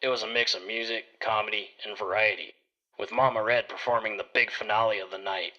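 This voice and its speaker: Low, bright-voiced male detective narrator. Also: fairly even intonation, fairly quick, dull audio. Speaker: narrator playing a private detective. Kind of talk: scripted first-person detective story narration.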